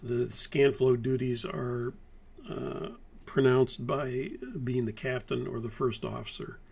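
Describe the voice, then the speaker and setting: A man teaching a live-streamed airline pilot course in a relaxed, methodical way, hoarse from a lingering virus. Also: medium pitch, slow pace. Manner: methodical, conversational, many fillers and restarts. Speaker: flight instructor. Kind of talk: live-streamed training talk.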